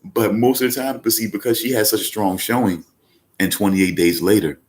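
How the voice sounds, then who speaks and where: Male podcast host, very low voice, loose rambling delivery, casual live-show chat. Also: fairly even intonation, fairly quick. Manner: rambling, with many fillers and restarts; speaks up when emphatic. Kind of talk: casual live-stream talk.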